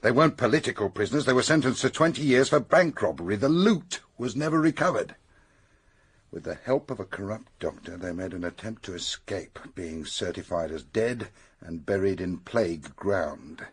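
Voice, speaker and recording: A police detective in a radio mystery. He has a low, husky voice with lively swings of pitch, blunt and sceptical as he presses his questions. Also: normal speed. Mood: grave. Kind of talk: detective radio drama acting.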